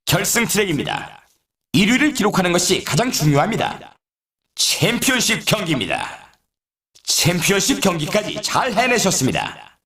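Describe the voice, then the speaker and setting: A man voicing an excitable snowboard race announcer in a video game, with polished, showy, emphatic commentary. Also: sits high, moderate pace, exaggerated voice. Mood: emphatic, announcing.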